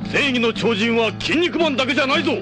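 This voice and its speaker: A man's voice: very high-pitched, animated, fast talker. A man voicing a righteous superhero wrestler in anime, declaiming a heroic challenge. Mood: defiant. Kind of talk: anime acting. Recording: loud music behind anime dialogue.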